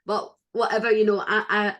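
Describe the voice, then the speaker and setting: A woman's voice: mid-range voice, flat delivery, brisk, fairly bright tone. A Scottish woman hosting a podcast interview, talking in an earnest, chatty way with frequent restarts. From Scotland. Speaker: podcast host. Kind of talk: casual interview chat.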